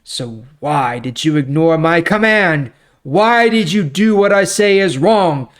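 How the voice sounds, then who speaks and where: A man acts out a stern prophet in a dramatic scripture reading. The voice is raised and forceful, declaiming accusations and pronouncing divine judgment with weight. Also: on the high side, lively intonation, slow pace, pitched above the performer's own voice. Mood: stern, accusing. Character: stern male prophet delivering God's angry judgment.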